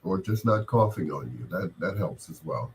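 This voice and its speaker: A man's voice: sits low, expressive, moderate pace. A man working as a radio DJ and host, chatting casually and playfully in a teasing, familiar way with listeners on a late-night music show.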